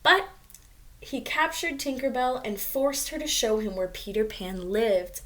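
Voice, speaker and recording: High-voiced woman reading to children. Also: a little slow. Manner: expressive, addressing young children. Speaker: storybook reader. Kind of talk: children's read-aloud with asides on the pictures.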